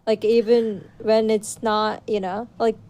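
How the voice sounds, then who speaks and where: A woman's voice: fairly high pitch, flat delivery, a little slow, dark tone. A woman from Japan, co-hosting a casual podcast in a chatty, playfully contradicting way. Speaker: podcast co-host.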